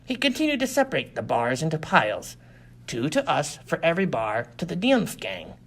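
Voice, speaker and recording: A man's voice: fairly high pitch, huge pitch swings, normal speed, fairly bright tone. A man reading a science fiction adventure story as dramatic scripted narration. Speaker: audiobook reader.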